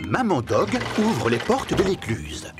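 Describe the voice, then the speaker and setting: A woman's voice: deep voice, huge pitch swings, slow. A woman narrating a dubbed cartoon in a storybook manner. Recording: loud music under the voice.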